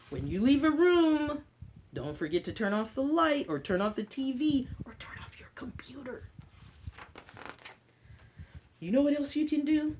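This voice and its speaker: A woman's voice: high voice, darkish tone, slight background noise. An American woman hosting a children's story time, speaking in a teacherly way with simple short phrases and questions to her listeners. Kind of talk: story time talk and read-aloud for children.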